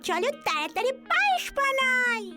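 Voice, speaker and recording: A very high, childlike cartoon rabbit, small, clever and eager. It speaks like a bossy little leader, with clear music playing underneath. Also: very animated, normal speed.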